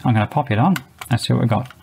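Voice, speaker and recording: A man's voice: medium pitch, rapid-fire, creaky, background noise. A man reviewing a small shortwave radio, explaining each feature and step methodically as host of his own channel. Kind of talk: product review walkthrough. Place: indoors.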